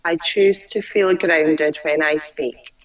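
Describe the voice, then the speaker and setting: A woman client who stutters, slowly repeating phrases after her coach over a video call. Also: low voice, steady tone, distant mic, rough recording.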